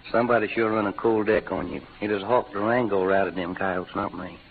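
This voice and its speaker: A man's voice: fairly low pitch, normal speed, creaky. An actor playing an easygoing, modest cowboy gunman with an American Western accent and wry, slangy drawl in an old radio western. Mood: modest, gruff. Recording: tinny, noisy old radio recording.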